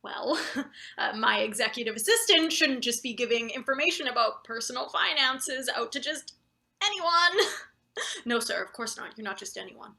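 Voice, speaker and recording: A woman playing a flustered, deferential new boss in a live play reading, halting and anxious as they fawn over a superior. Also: on the high side, animated, gravelly, bright, forceful tone, full character voice. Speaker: actor. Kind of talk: live table read of satirical play.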